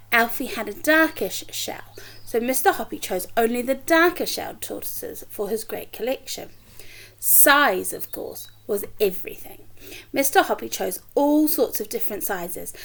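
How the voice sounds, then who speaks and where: Animated, higher-voiced woman reading a children's storybook. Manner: animated, acts out dialogue, chatty asides. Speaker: teacher reading aloud to pupils. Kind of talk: expressive children's storybook read-aloud with asides to listeners.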